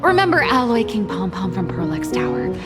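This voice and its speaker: A woman's voice: mid-range voice, very animated, brisk, over loud music, lightly acted. A woman voicing a breezy, cheeky female rogue author in a video game, playful and gossipy. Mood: defensive, whiny.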